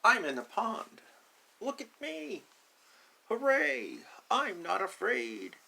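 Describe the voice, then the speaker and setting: A man voicing a timid, excitable little duckling in a storybook, with drawn-out exclaiming lines. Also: high-pitched, gravelly, voice pushed up, heavily acted. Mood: excited, proud.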